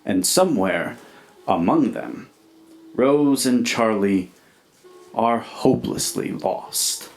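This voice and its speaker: Male narrator, very slow pace, dramatic scripted delivery, mid-pitched, music behind. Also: animated, poor audio.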